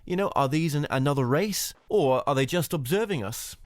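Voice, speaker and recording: A man's voice: a little high, lively intonation, normal speed, dry recording, studio quality. A male host asking rambling interview questions full of "you know" fillers.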